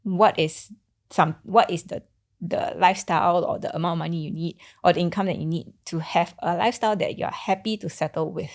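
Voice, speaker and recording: Female podcast co-host, Singaporean accent, lowish gravelly voice with vocal fry, informal explainer. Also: fairly even intonation, brisk. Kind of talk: casual explanatory chat.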